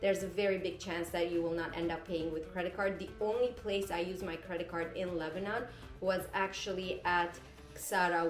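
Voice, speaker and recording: Chatty woman vlogger, roomy echo. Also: fairly low pitch. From Syria. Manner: chatty, with fillers and self-corrections. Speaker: travel vlogger. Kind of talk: casual travel tips to camera. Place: indoors in front of a green screen. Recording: roomy echo, faint music behind.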